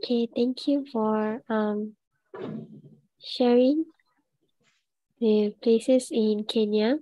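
Slow, polite woman moderating online. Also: fairly high pitch, steady tone, mellow, dry recording, low-quality recording. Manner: slow, polite, with hesitant fillers. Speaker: event moderator. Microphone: video call.

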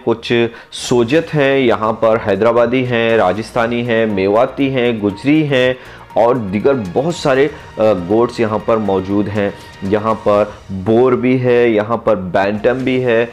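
A man's voice: mid-range voice, darkish tone. A man in his thirties hosting a casual vlog outdoors at a farm, speaking directly to his viewers in a friendly, enthusiastic way. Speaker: vlogger touring a goat farm.

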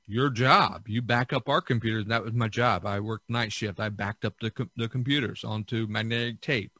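A man's voice: on the low side, fairly even intonation, normal speed, sharp tone, no echo. Casual older man, rambling podcast host. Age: 60s. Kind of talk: casual advisory monologue.

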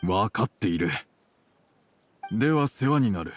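A man's voice: fairly low pitch, lively intonation, a little slow, soft background music. A man playing a terse, stern middle-aged tea merchant and father in a video game, curt and reserved. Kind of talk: Japanese video game voice acting.

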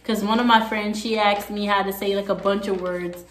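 A woman's voice: mid-range voice, fairly even intonation, moderate pace. Sassy young Jamaican woman in an echoey room. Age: young adult. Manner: chatty, sassy asides. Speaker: vlogger. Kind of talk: casual chat to camera. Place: small room at home, on a couch.

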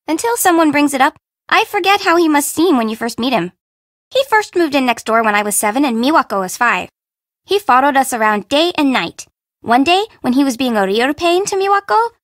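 A woman's voice: high voice, a bit bright, no room sound, studio quality. An actress voicing a flippant, sassy young fashion-minded city girl in a video game, with a teasing, slangy, blunt way of talking. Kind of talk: video game character dialogue.

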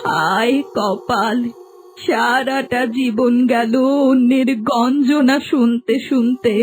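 A woman voicing a sorrowful, meek old village mother-in-law in a cartoon, speaking in a drawn-out, plaintive way. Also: on the high side, fairly even intonation, over music. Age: older. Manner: slow, drawn-out lamenting. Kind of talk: cartoon voice acting.

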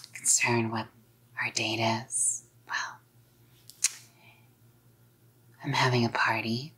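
A young woman playing a doting, controlling psycho girlfriend in a hushed, coaxing ASMR role-play. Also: a bass voice, husky, heavily acted. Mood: doting, excited. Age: young adult. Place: small dim indoor room.

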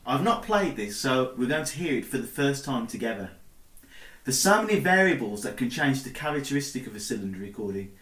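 A man in his thirties with a medium-pitched voice presents a demonstration. He explains things in an informal video made indoors in a house. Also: sing-song, fairly quick, a bit bright, breathy edge. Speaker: presenter demonstrating antique recording.

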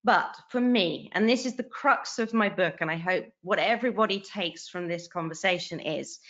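A woman's voice: lowish voice, a bit bright. A woman in her forties, a guest author on a video call interview, talking earnestly and animatedly, often restarting her phrases. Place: bright home room. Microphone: video call webcam. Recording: dull lo-fi video call audio.

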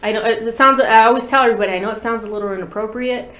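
A woman's voice: on the high side, steady tone, very fast, sharp tone, reverberant. A woman in her thirties chatting informally and slangily as a musician guest in an interview. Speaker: guest, talk box musician. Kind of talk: casual interview chat, storytelling. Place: small podcast studio, indoors. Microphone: desk podcast microphone.

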